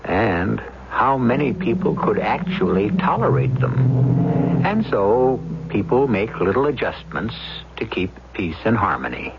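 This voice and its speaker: A man hosting a radio drama, delivering scripted narration in a measured, wry, deliberate manner. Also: medium pitch, lively intonation, vocal fry, noisy background. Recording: muffled vintage radio recording, loud music.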